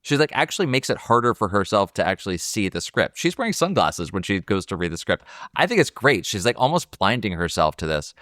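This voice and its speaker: A man's voice: on the low side, very animated, brisk, few pauses, a bit bright, no echo, clean studio sound. A man co-hosting a film podcast, casually analysing scenes as he thinks aloud. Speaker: podcast co-host discussing a film.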